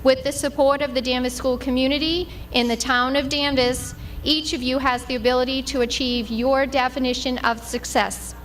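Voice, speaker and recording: A woman's voice: sits high, steady tone, normal speed, few pauses, fairly bright tone, a little hiss or hum. A woman, a school superintendent, delivering a formal prepared speech at a graduation.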